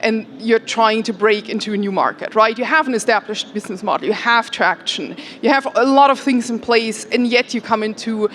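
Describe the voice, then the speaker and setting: A woman's voice: a little high, moderate pace, hardly any pauses, a bit bright, a little hiss or hum. A woman from Germany who is an accelerator CEO, speaking conversationally on a conference panel with frequent fillers. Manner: conversational, many "um"s and "like"s. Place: conference hall.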